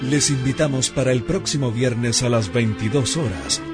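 A man's voice: mid-range voice, normal speed. A man, a radio announcer reading a scripted programme sign-off. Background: prominent music.

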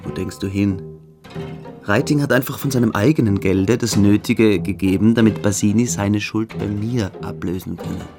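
An actor playing a cold, scheming, cruel boarding-school cadet in a German radio drama, speaking in a deliberate, calculating way. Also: male voice, lowish voice, over music, natural voice. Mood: conspiratorial, controlled.

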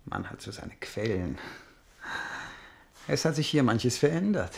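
An actor playing a smooth, cultivated schemer and art connoisseur in a radio drama, phrasing his words with insinuation. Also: medium pitch, very animated, measured pace, darkish tone, slight background noise. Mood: connoisseurial. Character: smooth scheming Italian envoy, art connoisseur, coldly plotting. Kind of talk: German radio drama acting.

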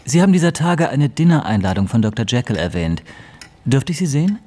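Man as a polite, precise middle-aged clerk, mid-pitched. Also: big pitch swings, fairly quick, dark tone, a little hiss or hum, natural voice. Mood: intent, analytical. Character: deferential, astute middle-aged male law clerk. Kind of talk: German radio play dialogue.

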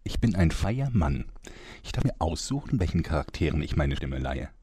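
Guy acting as grumbly pompous narrator dude, deep dark voice, bit husky, comedy audio play. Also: very animated, normal speed, no room sound, clean studio sound, exaggerated voice. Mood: indignant, grumbling. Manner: theatrical, pompous, self-important. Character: grumbling, vain male narrator fed up with his job.

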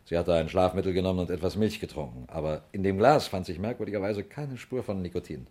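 Police inspector, deep bass voice, matter-of-fact, methodical, vintage radio crime play. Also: male voice, fast, darkish tone. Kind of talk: 1960s German radio crime drama acting.

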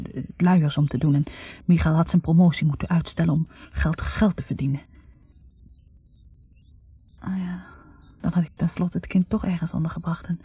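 An actress playing a bitter, reflective young woman academic in a 1960s radio drama, speaking in a halting, confessional way. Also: on the low side, slightly rough, no room sound. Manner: halting, confessional, repeating words. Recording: muffled 1960s radio recording.

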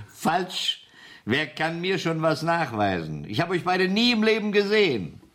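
An actor playing a smug, menacing gangster boss in a German radio crime drama, coolly mocking and commanding. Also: male voice, fairly high pitch, big pitch swings, takes it slowly, a bit bright, heavily acted.

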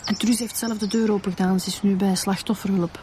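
A woman's voice: medium pitch, steady tone, brisk, noisy background, lightly acted. Actress playing a factual Flemish female detective. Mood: businesslike. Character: matter-of-fact female police detective. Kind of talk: radio drama acting.

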